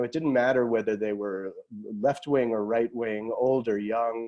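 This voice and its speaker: A middle-aged man with an American accent speaks in a soft-edged, mellow voice at a medium pitch. He answers interview questions as a writer would, reflectively, with halts and restarted words. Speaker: guest novelist. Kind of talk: thoughtful podcast interview answer.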